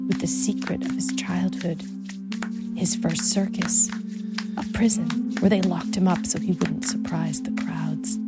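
A woman reading a literary short story as scripted narration. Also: medium pitch. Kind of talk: literary audio fiction narration. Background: music under the reading.

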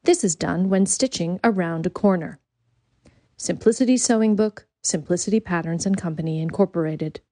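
A woman's voice: fairly low pitch, normal speed, mellow, no echo. A woman reading a literary short story as scripted narration. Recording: faint background noise.

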